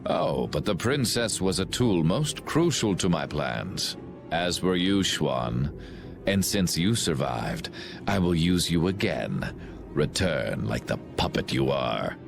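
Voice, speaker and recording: A man voicing a cold, grandiose villain commander in a video game, speaking in a pompous, measured, theatrical way. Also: very deep voice, very animated, vocal fry, over music, full character voice. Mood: cold, imperious.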